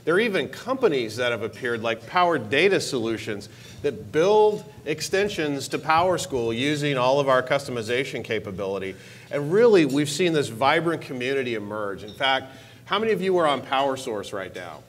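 A man's voice: very animated, normal speed. Male executive, forties, enthusiastic keynote delivery on a lapel mic in a large hall, light room noise. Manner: upbeat, enthusiastic pitch with stressed repeated words. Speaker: keynote speaker, technology executive. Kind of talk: conference keynote speech. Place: large conference hall stage.

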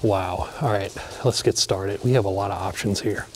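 A man's voice: fairly low pitch, steady tone, moderate pace, background noise. A man, filming as he explores an abandoned hospital and talking to viewers in awe. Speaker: urban explorer vlogger. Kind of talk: narration and on-site exploring commentary. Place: dark abandoned hospital building, indoors.

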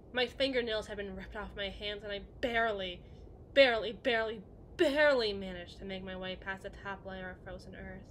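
A woman playing a brooding, wounded evil queen in an ASMR roleplay monologue, halting and deliberate with dramatic pauses. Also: medium pitch, a bit bright, lightly acted, rough recording. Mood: anguished. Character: haunted evil queen, brooding, wounded, confessing a hard past. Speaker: audio roleplay performer. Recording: clear background noise, ambience.

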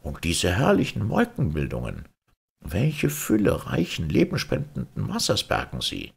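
A man acts out a wise male Martian airship pilot from a storybook. He speaks formally and eloquently, lifted well above the narration, with sweeping intonation and a husky edge. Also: sits low, huge pitch swings, a little slow, pitched above the performer's own voice, exaggerated voice. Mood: admiring, instructive.

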